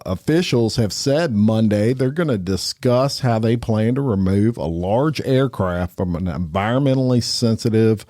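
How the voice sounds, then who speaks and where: A man's voice: on the low side, mellow, no room sound. Man, podcast co-host, folksy, dry close sound. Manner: folksy, drawling, says "y'all". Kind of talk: casual crime news chat. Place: podcast studio.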